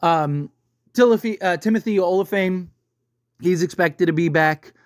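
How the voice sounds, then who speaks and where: A man's voice: high voice, a little slow, dry recording, studio quality. A man giving casual, unscripted movie commentary as a video host, rambling and often checking in with the listener by tagging his sentences with a question.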